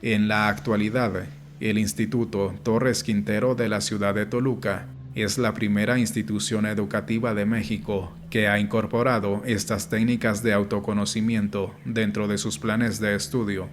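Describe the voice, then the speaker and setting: A man reading an audiobook in a measured, explanatory way, as scripted narration. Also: lowish voice, level tone, slight background noise. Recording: music playing behind the voice. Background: background music.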